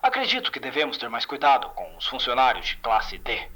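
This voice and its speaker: A man's voice: medium pitch, huge pitch swings, brisk, pushed and bright, slightly rough, full character voice. A man playing a cautious male doctor and researcher in an audio-log story, heard through a radio filter. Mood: wary. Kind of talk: acted audio-log fiction.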